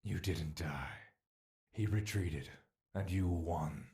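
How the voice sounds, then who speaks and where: Audio drama role: an age-old malevolent spirit, cavernously deep and unhurried, taunting and tempting with theatrical menace. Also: male voice, darkish tone. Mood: cool, taunting. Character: ancient male spirit trapped within a mortal, scornful, bloodthirsty, tempting.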